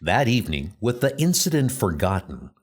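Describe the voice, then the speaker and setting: A man's voice: fairly low pitch, sing-song, moderate pace, husky. A man narrating a superhero comic script in a theatrical, suspenseful manner.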